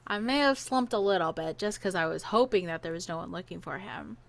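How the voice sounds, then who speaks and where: A woman's voice: lowish voice, fairly quick, creaky. A woman telling a personal story in a casual podcast style, animatedly acting out what people said. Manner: animated, acts out quoted dialogue.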